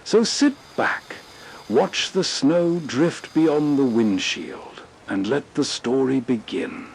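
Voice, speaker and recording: A man hosting with scripted, atmospheric radio-night narration in a measured storytelling manner. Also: medium pitch, expressive, gravelly, slight background noise. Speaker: host narrator.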